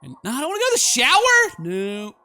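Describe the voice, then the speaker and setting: A man's voice: extremely high pitch, huge pitch swings, a little fast, bright, forceful tone. A man hosting a horror game let's play, reading the story aloud and reacting in a theatrical, exclamatory way. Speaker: gaming video host.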